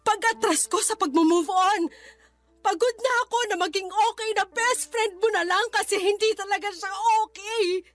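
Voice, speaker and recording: Heartbroken, high-pitched young woman, fed up. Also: moderate pace, no echo, soft background music. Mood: hurt, tearful pleading. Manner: emotional, pleading, emphatic. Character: heartbroken, fed-up young woman confronting her best friend. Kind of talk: Tagalog radio drama acting.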